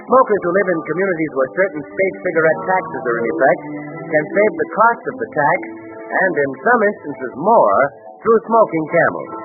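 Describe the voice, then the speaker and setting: A fairly high male voice delivers scripted copy as an American radio announcer of the 1930s, polished and emphatic. The vintage recording is muffled and narrow, with some music. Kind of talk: 1930s scripted commercial and story narration.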